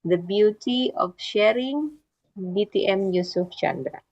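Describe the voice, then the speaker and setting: A woman speaks slowly and carefully as she formally introduces the next speaker. Her voice comes through a video call with a dull, lo-fi sound. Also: medium pitch, a little mellow. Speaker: speech evaluator.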